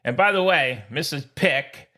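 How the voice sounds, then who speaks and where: A man's voice: a little high, expressive, a little slow, pushed and bright. A man co-hosting a podcast in casual, joking sports chat, boastful and folksy, often repeating himself. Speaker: podcast co-host.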